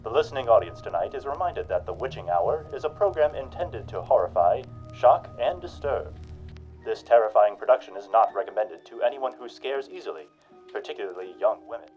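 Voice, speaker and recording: An actor playing a 1940s radio announcer and narrator, booming through the sponsor spots, then telling his own night of terror in a hushed, tense voice. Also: expressive, fairly quick, muffled recording, faint music behind, close to an everyday voice. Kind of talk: 1940s radio drama acting.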